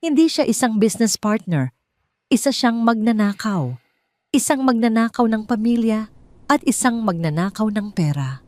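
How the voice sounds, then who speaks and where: A woman voicing a wronged middle-aged wife, firm, clear and accusing, in an audio drama. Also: on the high side, expressive, moderate pace, darkish tone, no echo, voice pushed up, close to an everyday voice. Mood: accusing, angry.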